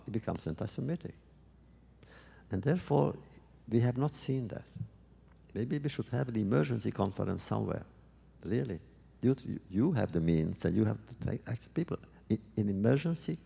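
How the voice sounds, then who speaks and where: A man answering audience questions at a public talk, speaking thoughtfully and haltingly with many restarts. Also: medium pitch, creaky, mellow, breathy edge, long reverb tail.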